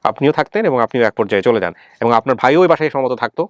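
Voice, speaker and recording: A man works as an interview host at a very rapid clip, in a medium-pitched voice recorded close and dry. He is methodical, checking each point with a question or a repeat. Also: expressive, no room sound. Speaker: host of a family reunion show. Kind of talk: probing interview, reading out and summarizing testimony.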